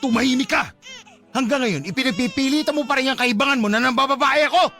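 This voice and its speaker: A man playing a belligerent husband in a Filipino radio drama, aggressive and demanding as he shouts and denies. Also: very high-pitched, fast. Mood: defensive anger. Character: belligerent, denying husband demanding his baby.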